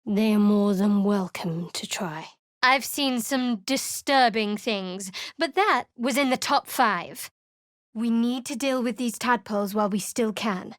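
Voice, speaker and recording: Game cleric, woman, dry and guarded, a touch high, close dead-dry studio sound. Actress plays her. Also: a little slow, no echo, close to an everyday voice. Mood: guarded, wry. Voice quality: dry, clipped and wry. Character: guarded sharp-tongued young female cleric. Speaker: game character. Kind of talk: video game voice acting.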